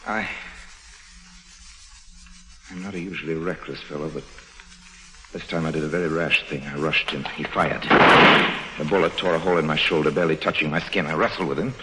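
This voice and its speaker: A charming rogue of old-time radio adventure. His voice is very low. He tells his tale in a wry, understated way, as if confiding in the listener. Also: steady tone, fairly quick, pauses often, heavy background noise. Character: roguish adventurer narrating his own escapade, wry and charming. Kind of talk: old-time radio drama acting and narration.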